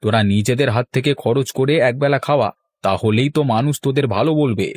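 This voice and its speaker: A man's voice: medium pitch, fast, darkish tone, no echo, natural voice. Man playing a grumbling cartoon miser, very dry sound. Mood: dismissive, argumentative. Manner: scolding, argumentative, sarcastic. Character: stingy, grumbling married man who loves free feasts. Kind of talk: Bengali cartoon voice acting.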